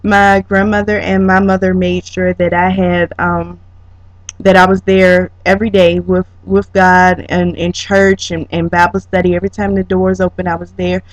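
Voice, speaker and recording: A student presenter giving a prepared, reflective slide presentation, speaking haltingly with drawn-out pauses in mid-sentence. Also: mid-range voice, flat delivery. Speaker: student presenter, educator training as a counselor. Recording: dull lo-fi sound with room echo.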